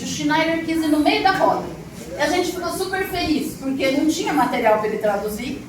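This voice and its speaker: A middle-aged woman, a storyteller and arts teacher, telling stories expressively and chatting directly with a live audience. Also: high-pitched. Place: echoey room with a live audience. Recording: echoey room, distant mic, noisy ambience.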